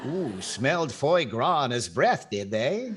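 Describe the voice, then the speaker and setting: A man's voice: mid-range voice, big pitch swings, a little slow, noisy background. An older man playing a wry, inquisitive comedy host in 1940s radio style, echoing lines as straight man. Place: indoors on a video call.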